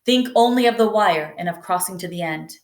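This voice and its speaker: A woman voicing a stern, haunted male wire-walking master in a storybook read-aloud, grave, measured and terse. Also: on the low side, close to an everyday voice. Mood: strict. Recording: roomy indoor echo.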